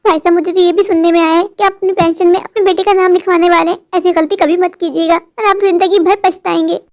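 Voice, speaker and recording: A woman's voice: extremely high pitch, steady tone, fast talker. A voice actor playing a scheming middle-aged mother who talks sweetly to others and makes sly, threatening asides in a cartoon. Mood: confiding. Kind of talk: cartoon voice acting.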